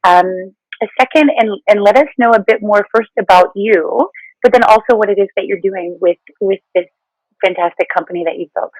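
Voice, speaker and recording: A woman's voice: medium pitch, fairly quick, darkish tone, dry recording, low-quality recording. A woman hosting a casual conversational interview in an effusive, encouraging manner. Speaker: podcast host.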